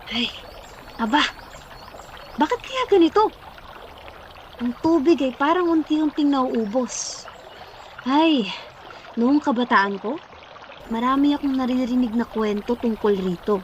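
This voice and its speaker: A woman playing an expressive village elder telling old tales in an amateur radio drama, with a Filipino accent. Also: high voice, a little slow, pauses often, dull audio, slight background noise. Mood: worried.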